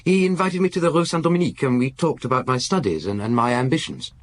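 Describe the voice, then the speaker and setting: A man's voice: medium pitch, animated, fast talker, close to an everyday voice. A man playing an earnest, nervous young aristocrat in a radio drama, speaking politely and confidingly with hesitant restarts. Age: young adult.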